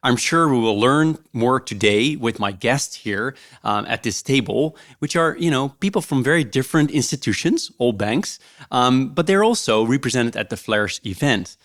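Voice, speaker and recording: A man's voice: mid-range voice, lively intonation, moderate pace. A man hosting a podcast interview, talking casually and hesitantly with many fillers. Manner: warm, hesitant, many ums. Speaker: podcast host.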